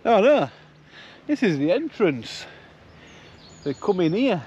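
A man's voice: sits high, very animated, takes it slowly, darkish tone. A man from Yorkshire with the local accent talks casually to his vlog viewers. He takes his time, chatty and informal with a joking streak. The audio is a little dull, with faint background noise from recording on location. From Yorkshire, England. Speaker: van-life vlogger.

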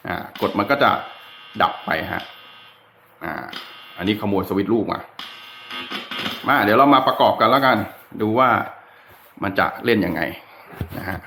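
A man's voice: fairly low pitch, animated, unhurried, vocal fry, pauses often, a bit bright, slight background noise. A man giving an informal, easygoing, hands-on tutorial in a chatty, unscripted way. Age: adult with a child. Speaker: DIY guitar electronics tutorial host. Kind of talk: casual hands-on demonstration talk.

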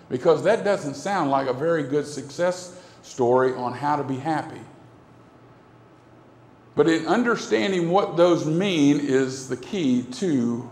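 A man's voice: fairly high pitch, a little hiss or hum. A middle-aged man preaching a sermon at a pulpit microphone in a church, earnest and repetitive, with broad gestures. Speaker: pastor. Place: church sanctuary, indoors. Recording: echoey hall from a far camera.

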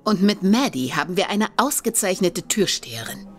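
A woman's voice: medium pitch, lively intonation, moderate pace, faint music behind, natural voice. An actress playing a worldly, teasing female brothel keeper in a radio drama.

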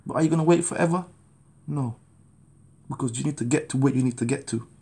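A man's voice: mid-range voice, quick pace, gravelly, dark tone, breathy edge. A man in his thirties from Jamaica, preaching his testimony to a phone camera in a small room at home, urgent and pleading. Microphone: phone camera at arm's length.

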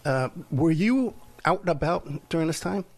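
A man hosting a talk podcast, relaxed and a little halting, often pausing on fillers as he frames his questions. His voice sits somewhat high for a man. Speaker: podcast host, private investigator. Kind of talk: casual interview.

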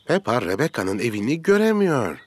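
A man narrating a scripted children's cartoon as a storyteller, in simple, clear sentences. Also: medium pitch, sing-song, moderate pace.

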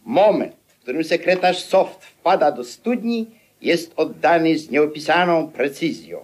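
A pompous showman in a radio play. He declaims at a slow, deliberate pace in a fairly high voice. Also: lively intonation. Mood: pompous, proclaiming. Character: pompous theatre barker announcing a tragic vaudeville.

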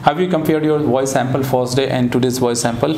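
A man's voice: mid-range voice, steady tone, fairly quick, warm tone. A middle-aged man with an Indian accent, a speech therapist conducting a formal patient interview. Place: small indoor clinic studio. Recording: echoey room with background noise.

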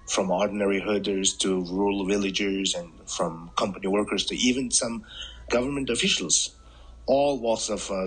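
Husky low-voiced man, earnest. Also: a bit bright, rough recording. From Southern Mongolia, China. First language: Mongolian. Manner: earnest, halting with many uh fillers. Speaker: human rights campaigner. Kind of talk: interview guest.

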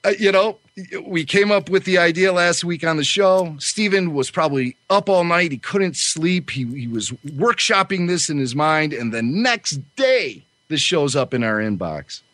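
Higher-voiced man chatting, dry sound. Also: expressive, moderate pace, fairly bright tone, no echo. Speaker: podcast host. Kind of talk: casual chat.

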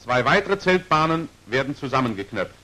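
A man's voice: medium pitch, very animated, brisk, bright, forceful tone, poor audio. Formal 1940s training film narrator, man. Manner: formal, precisely articulated, instructional.

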